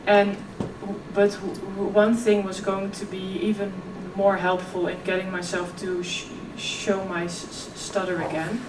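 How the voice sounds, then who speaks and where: A woman's voice: medium pitch, little pitch movement, measured pace, few pauses. Young woman with a stutter, echoey hall. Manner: stutters, casual with many ums. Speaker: keynote speaker. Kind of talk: live keynote talk. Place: large conference hall. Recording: echoey hall, distant mic, audience noise.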